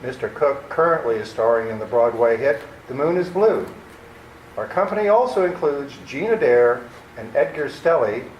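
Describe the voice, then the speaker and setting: A man's voice: medium pitch, darkish tone. A man announcing and narrating an old-time radio play in scripted style. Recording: roomy recording with faint noise.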